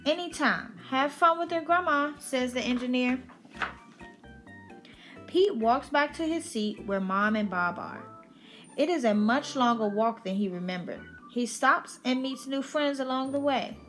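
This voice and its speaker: A woman's voice: fairly high pitch, lively intonation, unhurried, faint music behind. A woman reading a children's picture book aloud as a storyteller on her channel.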